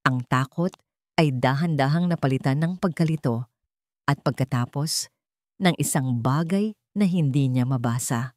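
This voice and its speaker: A woman narrating a dramatic scripted story in slow, deliberate phrases with pauses. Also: very deep voice, dry recording, studio quality.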